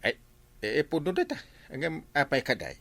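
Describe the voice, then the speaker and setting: A man with an Ilocano Filipino accent playing an excitable, folksy village captain in a comedy drama, full of exclamations. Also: fairly high pitch, moderate pace, vocal fry, no room sound, full character voice. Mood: eager, folksy. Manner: exclamatory, many interjections.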